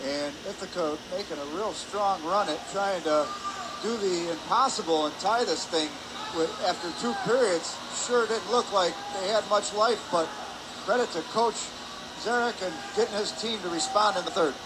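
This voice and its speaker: A man giving measured, reflective color commentary between plays at a live hockey game. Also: high voice. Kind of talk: live sports analysis. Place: indoor ice hockey arena. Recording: noisy rink ambience behind the voice.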